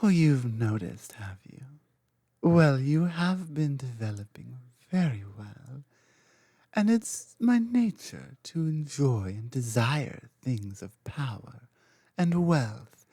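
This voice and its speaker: An ancient dragon queen, played by a woman, dominant and cryptic, who speaks slowly and lets her mellow voice rise and fall in lively swoops before sinking into soft whispers. The close, dry recording keeps every breath near the ear in this ASMR roleplay. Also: rumbling low, husky, dry recording, heavily acted. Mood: cryptic, commanding. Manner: slow, cryptic, commanding, drifting into soft whispers.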